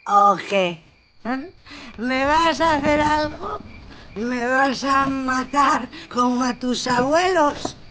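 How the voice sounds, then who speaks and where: A woman's voice: high voice, takes it slowly, a bit bright, a little hiss or hum. An actress playing a drunk, bitter, abusive mother in a radio drama, jeering and slurring venomous insults. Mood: furious. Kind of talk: Spanish-language radio drama acting.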